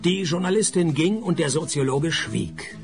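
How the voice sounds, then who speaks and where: A wry private detective from a radio crime comedy. His gravelly voice sits a little high and moves with lively swings, dry and sarcastic throughout. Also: moderate pace, over music. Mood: deadpan narrating. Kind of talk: scripted radio crime comedy narration and dialogue.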